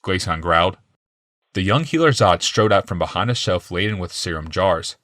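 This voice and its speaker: A man's voice: low-pitched, animated, normal speed, fairly bright tone, no room sound, clean studio sound. A man reading a fantasy audiobook as a dramatic scripted narration.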